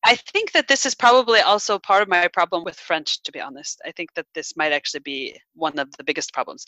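A woman's voice: mid-range voice, pushed and bright, dry recording, poor audio. A young American woman chatting casually, self-deprecating and full of "like" fillers. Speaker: conversation partner. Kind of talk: casual chat.

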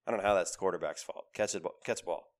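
A man in his thirties with a cold, co-hosting a casual sports podcast, talking in an animated, emphatic way. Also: low-pitched, rapid-fire. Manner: animated, emphatic with repeated phrases. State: has a cold, voice lower than usual. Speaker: podcast co-host.

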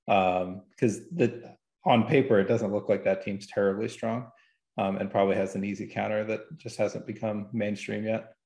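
A man's voice: fairly low pitch, a little mellow, echoey room. A man talking casually and technically about gaming, explaining in an informal, hesitant way as a guest analyst.

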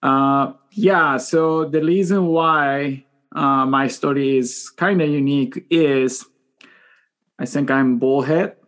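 A man in his forties with a Japanese accent tells his own story as an interview guest. He is a native Japanese speaker and talks casually in mid-pitched English, often halting. His manner is joking and self-deprecating. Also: fairly bright tone. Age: 40s. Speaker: podcast guest.

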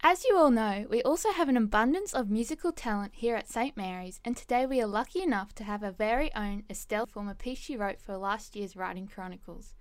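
Teenage female student host, medium pitch, podcast presenting, faint music underneath. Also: brisk, hardly any pauses.

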